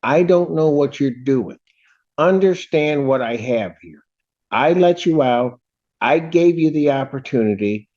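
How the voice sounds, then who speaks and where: A man speaking as a judge from the bench, stern and emphatic, often halting and repeating himself. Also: on the high side, expressive, darkish tone, lo-fi sound. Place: courtroom.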